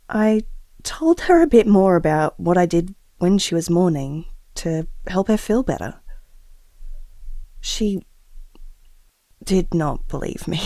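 A woman's voice: on the low side, darkish tone, dry recording. A woman playing an earnest expectant mother in an audio drama, confiding reflectively and hesitantly as if to a taped diary.

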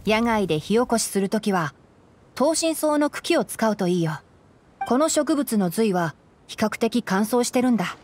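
A woman playing a calm, knowledgeable young male forest ranger in an anime game, explaining things in a composed, gently teasing way. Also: a little high, moderate pace. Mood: calm, instructive. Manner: explanatory, composed, lightly dry. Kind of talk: Japanese anime game voice acting.

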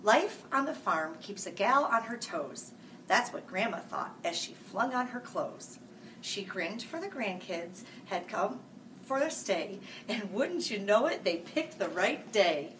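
A woman in her forties reading a picture book aloud to children in a sing-song, animated way, jumping to loud, high character lines. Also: a little slow. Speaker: school staff reading buddy. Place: small room, seated before a green-screen farm backdrop. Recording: distant mic with faint hiss.

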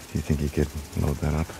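Very deep-voiced rugged American drifter. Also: male voice, completely flat delivery, background noise. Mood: hesitant, casual. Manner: hesitant, halting, with ums and restarts. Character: rugged, protective young drifter and biker, awkwardly tender. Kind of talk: dramatic video game cutscene dialogue. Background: music behind the voice.

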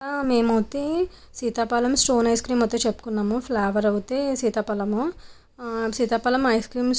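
Woman, young adult, vlogger, quick chatty voice-over, flat pitch, mellow tone. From Andhra Pradesh, India. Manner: chatty, filler-heavy storytelling. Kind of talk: casual voice-over vlog narration.

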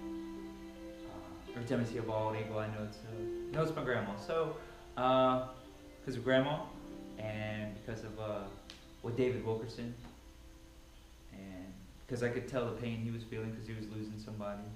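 A man's voice: fairly low pitch, fairly even intonation, normal speed, faint music behind. A man speaking as a Bible reader at home, recorded in a large room by a camera some distance away. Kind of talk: casual introduction, then dramatic scripture reading. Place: large, sparsely furnished living room at home. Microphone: camera a few metres away. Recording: echoey room, picked up from a distance.

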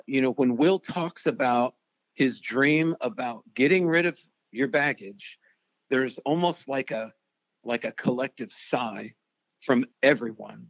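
A man's voice: medium pitch, no room sound, dull audio. Earnest man telling a personal story. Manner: earnest, emotional, repeats words as he searches. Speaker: storyteller guest. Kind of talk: personal testimony interview.

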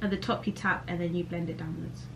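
A woman's voice: lowish voice, steady tone, quick pace, rough recording. A woman in her twenties chatting casually with a friend, playful and giggly. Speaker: friend of the vlogger. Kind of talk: casual chat. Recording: busy crowd and loud background noise.

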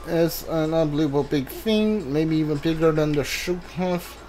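A video game player giving casual let's play commentary, thinking aloud in a halting way. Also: mid-range voice, warm tone, poor audio. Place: indoors, voice-over on gameplay. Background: video game music.

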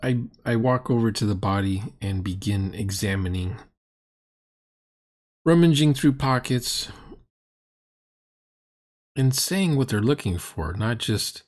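Husky, low-voiced man giving sarcastic commentary. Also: darkish tone. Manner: exasperated, sarcastic. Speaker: reaction video host critiquing a role-playing session. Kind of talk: unscripted critical commentary.

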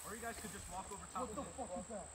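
An excitable young American man bantering in slang with friends while hiking outdoors. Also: high voice, racing. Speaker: vlogger on a fishing trip. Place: outdoors in overgrown wet woodland. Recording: very noisy outdoor action recording.

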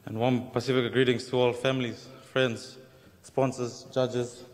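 A young man from Fiji gives an earnest, formal acceptance speech at an awards ceremony, now and then adding a light joke. His delivery stays flat and even. The sound carries the long reverb of a large hall. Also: medium pitch, moderate pace. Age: young adult. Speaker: award recipient.

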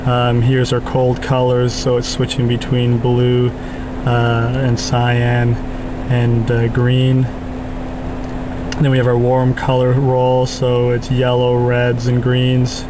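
A young man demonstrating a lighting system in a flat, monotone way, with frequent filler sounds, recorded on a camera mic in a parking garage. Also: medium pitch, slow pace, noisy background. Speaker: product demonstrator. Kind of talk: casual product demo narration.

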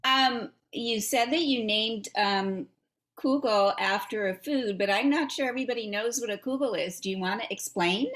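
A woman's voice: on the high side, moderate pace, fairly bright tone. An older woman moderating a casual video call, asking questions. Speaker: story time moderator. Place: bright home living room, indoors. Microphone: computer mic on video call.

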